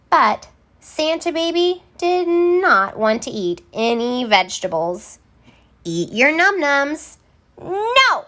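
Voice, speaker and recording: Slow, animated woman reading a storybook. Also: fairly high pitch. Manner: theatrical, deliberate, emphatic word by word. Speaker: storybook reader. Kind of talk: expressive children's read-aloud narration.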